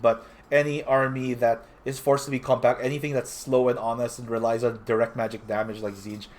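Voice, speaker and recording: A man's voice: mid-range voice, flat delivery, fairly quick, darkish tone. A young man from the Philippines with a slightly nasal voice, a little sick, giving a casual spoken recap as a tournament player with frequent self-corrections.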